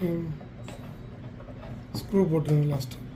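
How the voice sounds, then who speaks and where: High-voiced man, mellow demonstrator. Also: unhurried. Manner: plain step-by-step explaining with some English technical words. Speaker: product demonstrator for a heater supplier. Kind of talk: hands-on instructional demonstration. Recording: faint background noise.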